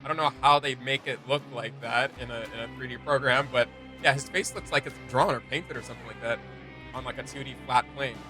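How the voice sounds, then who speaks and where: A man's voice: mid-range voice, a little fast, over music. A man hosting a fan reaction show, giving casual, slangy and enthusiastic commentary.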